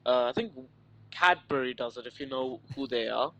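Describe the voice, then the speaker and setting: A man's voice: medium pitch, normal speed, bright, forceful tone, poor audio. A man co-hosting a racing live stream in casual chat, easygoing and teasing, laughing often between remarks. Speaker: race stream co-host.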